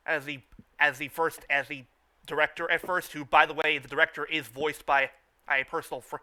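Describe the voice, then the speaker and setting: A man hosting an informal fan review, speaking haltingly and often repeating and restarting his phrases. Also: fairly high pitch, pushed and bright. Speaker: review show host. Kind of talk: informal fan commentary and recap.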